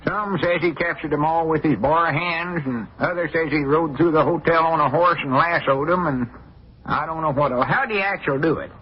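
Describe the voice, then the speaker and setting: An actor playing a wry, gossipy old country grandpappy with a folksy rural Southern accent in 1940s radio comedy style. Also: male voice, on the high side, moderate pace, breathy edge. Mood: wry, mocking. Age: older. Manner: folksy, drawling, nosy. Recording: noisy, dull 1940s radio recording.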